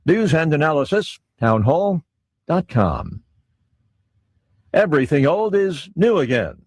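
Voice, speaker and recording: A man reading radio news headlines briskly. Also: a little high, sing-song, darkish tone, no room sound, studio quality. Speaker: news anchor.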